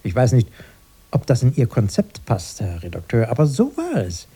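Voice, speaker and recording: An old, proper brother in a radio drama. His voice is mellow and husky, with lively swings in pitch. He reminisces earnestly and is quick to correct, in a slightly piqued way. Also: heavily acted. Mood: prim, earnest. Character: elderly brother, proper and nostalgic, easily piqued. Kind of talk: radio play dialogue.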